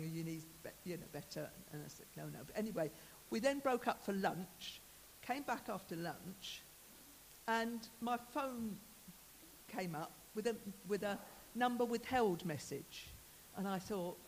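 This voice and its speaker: A woman sharing a testimony at church, rambling and breaking off mid-thought. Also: lowish voice. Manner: rambling, self-interrupting, laughs often. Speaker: congregation member sharing a testimony. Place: church hall. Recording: long hall reverb with faint hiss.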